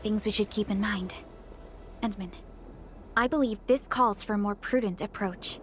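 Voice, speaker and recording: A woman voicing a calm, prudent young female officer in a video game, speaking formally and thoughtfully. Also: medium pitch, normal speed, soft background music, lightly acted. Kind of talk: scripted video game dialogue.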